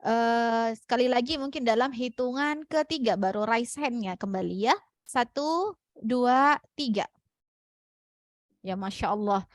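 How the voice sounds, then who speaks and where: A woman's voice: on the high side, unhurried, long pauses, no echo. A woman with an Indonesian accent moderating questions in an online class.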